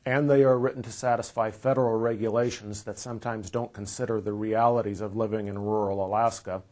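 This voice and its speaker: A man in his fifties with an American accent presents scripted training material in a measured, explanatory way. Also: medium pitch, a little mellow. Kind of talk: scripted instructional narration. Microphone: lapel mic.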